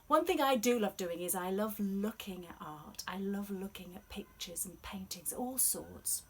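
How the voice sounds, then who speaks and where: A woman telling stories to children, explaining things gently in an unhurried, expressive read-aloud way. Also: medium pitch, a little hiss or hum, low-quality recording. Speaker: storyteller.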